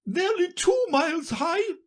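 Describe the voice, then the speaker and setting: A narrator voicing an imperious queen, loud, drawn-out and commanding, for a storybook. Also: very high-pitched, lively intonation, pitched above the performer's own voice, exaggerated voice. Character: shrill, imperious, bloodthirsty queen.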